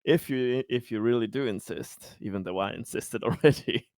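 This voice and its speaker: A man's voice: mid-range voice, normal speed, vocal fry, darkish tone, dry recording, clean studio sound. A man playing a tabletop game, bantering casually in a joking, mock-polite manner with hesitant restarts.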